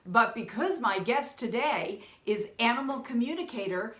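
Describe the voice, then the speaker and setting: A woman's voice: fairly high pitch, expressive, moderate pace, a bit bright. A woman hosting a talk show, interviewing a guest in a chatty way with small laughs. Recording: roomy echo.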